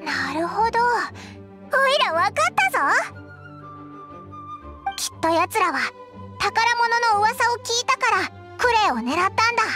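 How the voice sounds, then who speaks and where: A tiny childlike fairy sidekick from an anime game, chatters in an extremely high, bright voice. The manner is cheeky, boyish and brash, with faint music behind. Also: female voice, lively intonation, measured pace, long pauses, heavily acted. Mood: satisfied. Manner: boyish, cheeky self-referential speech. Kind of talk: anime video game voice acting. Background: background music.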